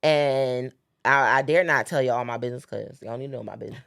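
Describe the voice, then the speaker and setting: Podcast host, woman, very deep voice, earnest preacherly cadence, dry close sound. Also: brisk, gravelly, a bit bright, dry recording. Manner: earnest, preacherly, repeats phrases for emphasis. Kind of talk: casual faith-based chat.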